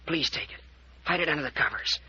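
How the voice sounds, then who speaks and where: A man's voice: a little high, expressive, fast talker, sharp tone, slightly rough, heavily acted. An actor playing an earnest, protective, suspicious young American man in an old-time radio mystery, urgent and stubborn. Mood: urgent, secretive. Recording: dull, noisy vintage radio recording.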